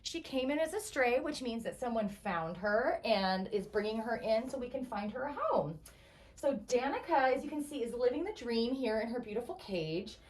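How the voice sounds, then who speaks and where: A woman giving an educational tour of an animal shelter for children, explaining things simply and enthusiastically. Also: fairly high pitch. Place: indoor animal shelter rooms. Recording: distant mic, faint background noise.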